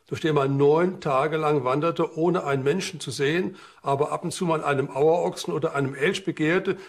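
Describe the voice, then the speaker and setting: A man explaining as an expert in a documentary interview. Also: fairly high pitch.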